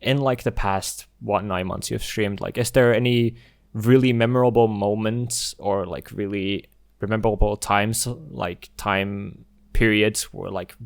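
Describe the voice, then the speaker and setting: A young man whose first language is Finnish hosts a casual podcast chat. His voice sits low and sounds dry and close to the mic. He talks in an easygoing, halting way, often starting a sentence over. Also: gravelly, clear, resonant tone, no echo. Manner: relaxed, hesitant, many restarts and fillers. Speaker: podcast host.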